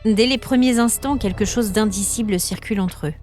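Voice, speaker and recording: A woman narrating a scripted story podcast in a measured, suspenseful, dramatic way, with short weighted phrases. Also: mid-range voice, darkish tone. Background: music.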